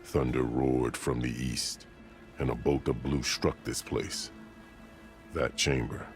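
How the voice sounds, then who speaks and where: Very deep gravelly warrior chief. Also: male voice, level tone, heavily acted. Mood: solemn storytelling. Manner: solemn, formal, measured, declarative. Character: stern, proud, commanding warrior chief of a tribe. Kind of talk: dramatic video game acting. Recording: loud music behind the voice.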